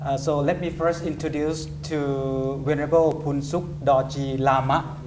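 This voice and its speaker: A man's voice: fairly high pitch, steady tone, measured pace, noisy background. A man hosting a university TV program, reading news and interviewing guests with frequent fillers and restarts. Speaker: TV program host.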